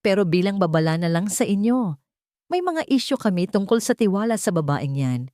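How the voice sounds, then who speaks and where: A woman's voice: medium pitch, animated, fairly quick, mellow, no echo, clean studio sound, close to an everyday voice. A woman with a Filipino accent voicing a stern, bitter former employer in an audio story, hard and curt. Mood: cold, bitter.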